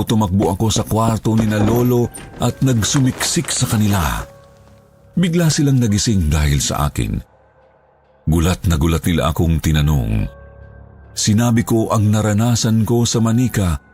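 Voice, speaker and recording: A man with a Filipino accent narrating a scripted first-person horror story in a dramatic, deliberate way. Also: sits low, a little mellow. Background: background music.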